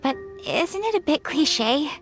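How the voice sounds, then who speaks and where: A woman's voice: sits high, sing-song, measured pace, soft background music, exaggerated voice. A woman voicing a breezy, opinionated young townswoman in a fantasy video game. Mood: breezy, dreamy.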